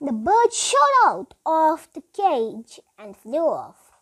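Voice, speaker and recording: Young child, around eight, for storybook recitation: high voice, animated and emphatic, slightly unpolished reading. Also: slow pace. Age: child, about eight. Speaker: schoolchild reading aloud.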